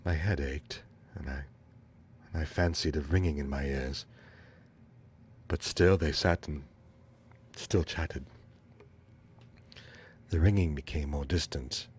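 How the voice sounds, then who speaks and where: A man narrates a horror tale in a very deep register. His voice is rough and husky, and he phrases each line theatrically. He leaves deliberate pauses for dramatic weight. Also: darkish tone. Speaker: reader of a horror short story. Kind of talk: dramatic first-person story narration.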